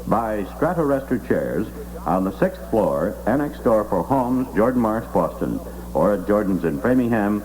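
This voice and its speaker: Male radio news anchor, deep voice, lively intonation, formal scripted delivery, old AM broadcast with background hum. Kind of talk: scripted 1960s radio newscast and live ad reading. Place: radio studio. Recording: vintage 1960s AM radio recording, noisy hum.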